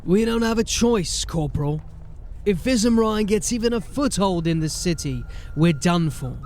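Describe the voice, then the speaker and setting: Fantasy watch sergeant: high register, gruff, commanding, dry wit, faint ambience behind. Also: male voice, lively intonation, moderate pace, darkish tone, slight background noise. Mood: grave, determined. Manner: commanding, clipped orders with dry sarcasm. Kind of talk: fantasy audio drama acting.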